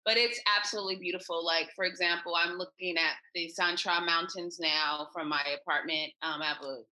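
An American woman with a crisp, bright tone that sits a touch low. She tells her stories in an upbeat, chatty way, as a guest on a remote call. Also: steady tone, fairly quick, rough recording. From United States. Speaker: podcast guest, expat traveler. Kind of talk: casual interview chat.

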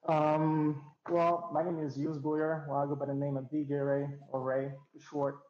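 A young man from Haiti, a DJ, talking in a relaxed, rambling way with many fillers, as a guest on a video call. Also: fairly high pitch, moderate pace, reverberant, dull audio. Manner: laid-back, rambling with many fillers and restarts. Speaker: DJ and interview guest. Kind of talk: casual interview over a call.

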